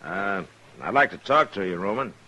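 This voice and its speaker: An actor playing a stern, controlled Old West marshal in an old-time radio western. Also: male voice, on the low side, animated, normal speed, pushed and bright. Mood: measured. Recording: noisy vintage radio recording.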